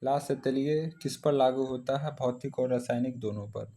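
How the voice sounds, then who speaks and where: A man's voice: mid-range voice, moderate pace, warm tone. An Indian man teaching exam practice, reading out questions and following each with a short answer.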